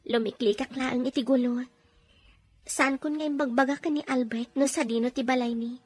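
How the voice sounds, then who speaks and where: A scheming, bossy secretary in an Ilocano radio drama. The voice is high and a little husky, pressing every point dramatically. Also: female voice, steady tone, moderate pace. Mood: insistent, scheming. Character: scheming, insistent woman secretary, plotting and bossy.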